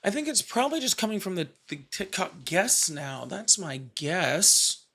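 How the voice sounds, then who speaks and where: A man's voice: high voice, very animated, normal speed, bright, forceful tone. A man in his thirties hosting a live call-in stream, blunt and joking as he challenges callers. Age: 30s. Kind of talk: casual live call-in chat.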